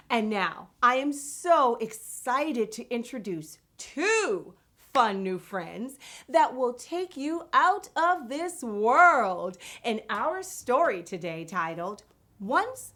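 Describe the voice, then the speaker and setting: Animated high-voiced woman hosting for kids. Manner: animated, addresses young viewers directly. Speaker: host of a children's read-aloud show. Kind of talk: children's show presenting.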